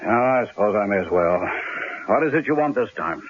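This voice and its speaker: Raspy, irascible police commissioner. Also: male voice, fairly low pitch, animated, a little fast. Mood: irritated, grudging. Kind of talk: old-time radio drama acting. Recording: dull old radio recording.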